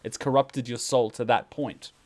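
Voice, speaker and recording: A man's voice: medium pitch, fairly even intonation, normal speed, darkish tone. Casting: male reaction host, mid-range voice. Casual explainer who leans on key words. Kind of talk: casual commentary.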